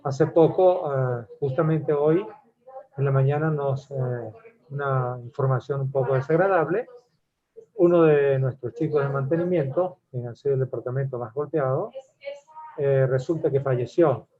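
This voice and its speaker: A man's voice: mid-range voice, moderate pace. A man from Venezuela with a Venezuelan accent, adding a hesitant comment during a webinar Q&A. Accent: Venezuelan Spanish. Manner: hesitant, many fillers and restarts. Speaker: webinar attendee asking a question. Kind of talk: casual comment in a Q&A. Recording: tinny phone-like call audio.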